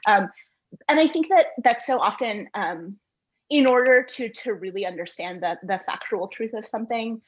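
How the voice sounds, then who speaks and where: A woman giving thoughtful interview answers in an earnest, halting way, repeating words as she reasons. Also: mid-range voice, expressive, husky, highs cut off. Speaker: guest author, poet and essayist.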